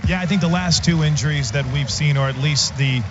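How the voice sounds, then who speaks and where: Slightly high-voiced male sideline reporter. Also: a little fast, background noise. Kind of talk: live football radio reporting. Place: football stadium field level. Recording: dull lo-fi broadcast, loud music behind.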